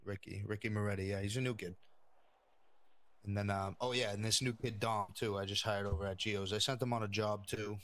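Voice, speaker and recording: A man's voice: fairly low pitch, steady tone, fairly quick, fairly bright tone, close to an everyday voice. A man playing a casual, wary mob crew leader in improvised crime role-play, offhand and hesitant, talking in short phrases.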